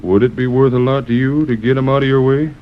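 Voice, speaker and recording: A man's voice: mid-range voice, fairly even intonation, quick pace, natural voice. A man playing a scheming western henchman, knowing and folksy in his speech, in a muffled 1930s radio drama. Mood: sly, insinuating. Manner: folksy frontier grammar, knowing. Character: sly scheming western henchman, insinuating and coolly crooked.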